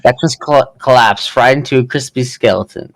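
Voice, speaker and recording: A man's voice: mid-range voice, a little fast, dry recording, poor audio. A man reading scripted fantasy story narration aloud as a player in a party game.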